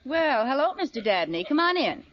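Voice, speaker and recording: An actress playing a wry, easily flustered housewife in an old radio sitcom, with exclamatory comic timing, on an old recording. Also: female voice, high voice, brisk. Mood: friendly, coy. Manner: animated, exclamatory comic delivery. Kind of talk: 1940s-50s radio sitcom acting. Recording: muffled noisy old radio recording, studio audience.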